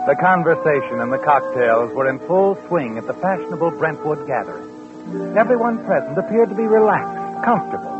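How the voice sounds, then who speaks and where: An actor playing a mysterious, all-knowing, sardonic narrator of a 1940s radio mystery, insinuating, on a tinny old recording. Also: male voice, on the high side, lively intonation, moderate pace, lightly acted. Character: mysterious, omniscient, sardonic male night-walking narrator. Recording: tinny vintage radio broadcast with music.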